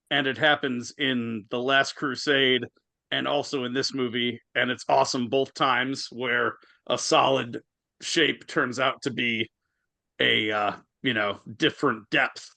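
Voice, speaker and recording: A man's voice: medium pitch, fairly even intonation, measured pace, vocal fry, pushed and bright, dry recording. A man making an enthusiastic, rambling aside over a video call. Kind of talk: casual aside commentary.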